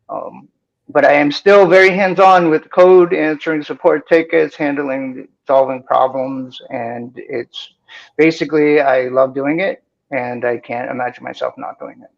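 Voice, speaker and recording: A man from Boston speaks with a faint Boston accent and a gravelly, creaky edge. He explains technical steps with enthusiasm, filling pauses with "um." The audio is slightly dull, like a remote call mic. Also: mid-range voice, steady tone. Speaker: guest developer. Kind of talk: technical talk-show chat.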